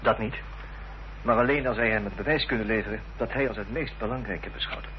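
Guy acting as determined gentleman sleuth, formal radio play delivery, muffled hummy old recording. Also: on the low side, close to an everyday voice. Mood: earnest, reasoning. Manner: measured, reasoning, formal. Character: earnest, determined gentleman investigator in Victorian mystery. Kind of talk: period radio drama acting. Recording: dull, noisy, humming old radio recording.

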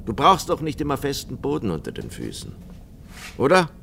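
A brisk company boss in a radio drama, chatty and matter-of-fact. His medium-pitched voice swings in a lively way. There is clear vehicle noise and clicking around him. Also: exaggerated voice.

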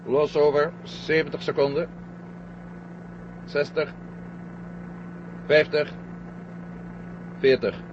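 An actor playing a stubborn, optimistic astronaut with a dry, defiant manner in a 1970 Dutch radio drama. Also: male voice, on the high side, flat delivery, slow pace, pauses often, background noise. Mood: dry, controlled. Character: Matt, stubborn optimistic American astronaut, adult man. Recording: muffled, humming 1970 radio recording.

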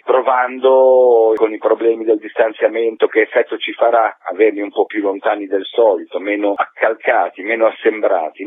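A man's voice: medium pitch, slightly rough, no room sound. A man being interviewed by phone as a theatre maker, explaining his work in a reflective, articulate way over a tinny, muffled line. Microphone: telephone.

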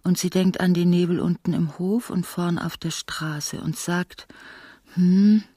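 Woman narrating a literary radio drama, measured, not much melody, close dry sound. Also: on the low side, steady tone, dark tone, breathy edge, dry recording. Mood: grumbling. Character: female storyteller of a wry literary radio play.

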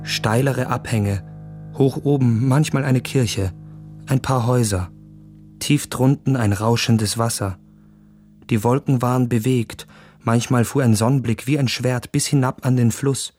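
An actor playing a hesitant, vain young gentleman traveler, speaking his reflective thoughts in a radio play. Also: medium pitch, fairly even intonation, measured pace, faint music behind, lightly acted. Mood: contemplative.

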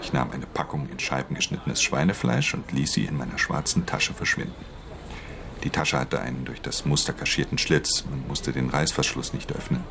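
Very deep, gravelly, brooding thief. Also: male voice, moderate pace, background noise. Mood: matter-of-fact. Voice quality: very deep, gravelly, breathy in hushed passages. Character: brooding, weary male thief narrating his own story. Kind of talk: radio drama first-person narration.

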